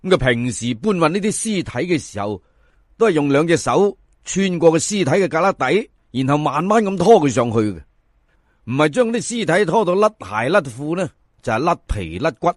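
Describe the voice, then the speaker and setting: A man tells a folk tale in colloquial Hong Kong Cantonese. His voice sits a little high and swings widely in pitch for drama. The sound is dry and close, with little room around it. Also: sing-song, dry recording. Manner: colloquial, dramatic, drawn-out sentence particles. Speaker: storyteller. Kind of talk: animated folk tale narration.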